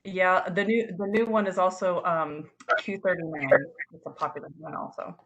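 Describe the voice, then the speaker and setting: A woman's voice: on the low side, moderate pace, a bit bright, lo-fi sound, slight background noise. A woman introducing herself to a class in a casual way, breaking off and restarting sentences. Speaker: student.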